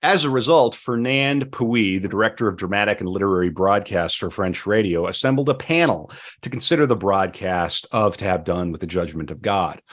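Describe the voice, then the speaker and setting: A man with a low-pitched voice hosts a podcast. He phrases things deliberately and emphatically, moving between conversation and reading notes aloud. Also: animated. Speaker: podcast host.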